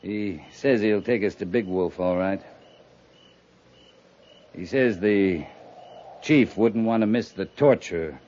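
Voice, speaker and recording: An American man playing a calm, resolute cavalry captain in a 1950s radio western, measured, understated and commanding. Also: fairly low pitch. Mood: grave, controlled. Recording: dull, noisy 1950s radio recording.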